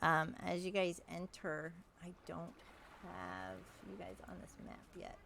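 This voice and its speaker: A woman running a tabletop game as game master, relaxed and laughing often, slowing down to narrate the scenes. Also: low-pitched, gravelly, slight background noise. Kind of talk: running a tabletop game session over voice chat.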